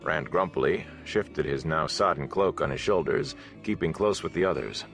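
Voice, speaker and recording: A deep-voiced man narrates a fantasy audiobook in a measured, dramatic way. His low register carries the scripted storytelling, with music playing behind him. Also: fairly bright tone.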